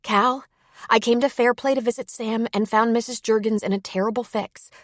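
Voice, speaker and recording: A woman's voice: on the high side, fairly even intonation, normal speed, no room sound, clean studio sound, pitched above the performer's own voice, close to an everyday voice. A woman voicing an anxious, tender-hearted young woman who speaks with urgent, earnest worry in an audiobook. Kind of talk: audiobook character dialogue.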